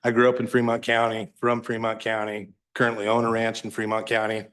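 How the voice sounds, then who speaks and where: A rancher from Wyoming giving public comment in a loud, plainspoken, folksy way. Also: male voice, lowish voice, monotone, brisk, smooth. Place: public meeting room.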